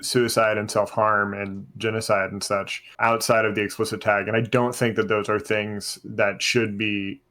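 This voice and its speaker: Male podcast host, lowish pitch, informal and conversational, repeats and rephrases as he goes. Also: level tone, moderate pace, few pauses, pushed and bright. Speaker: teacher. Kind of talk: casual podcast discussion.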